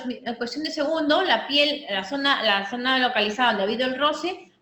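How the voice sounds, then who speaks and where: Bright-voiced woman lecturing in an echoey room. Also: high voice. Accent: Peruvian Spanish. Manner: explanatory, many restarts and fillers. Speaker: physician lecturer. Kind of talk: medical teaching talk. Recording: echoey room with faint noise.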